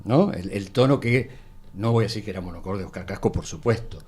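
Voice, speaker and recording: A man's voice: lowish voice, fairly quick, a little mellow, breathy edge. A man with an Argentine accent explaining things casually in a radio panel conversation.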